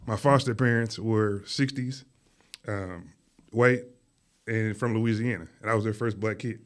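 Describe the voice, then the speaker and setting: A man raised in Philadelphia, whose first language was Arabic, talking casually as a podcast guest in a school studio, telling his story in a relaxed way with many fillers. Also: fairly low pitch, a little slow. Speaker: guest, artist and art teacher.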